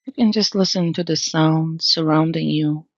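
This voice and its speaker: A woman leading an unscripted guided meditation in a calm, soothing manner, leaving long pauses between phrases. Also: deep voice, no echo, highs cut off.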